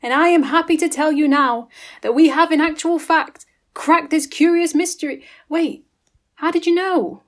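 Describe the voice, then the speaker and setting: A woman's voice: high voice, normal speed, natural voice. A woman playing a pompous, bumbling senior detective aunt in an amateur comedy whodunnit. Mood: self-important.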